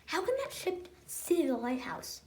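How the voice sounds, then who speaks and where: A woman's voice: high voice, lively intonation, unhurried, a little hiss or hum. A female co-host casually commenting on a picture book in a musing, questioning way. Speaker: read-aloud co-host.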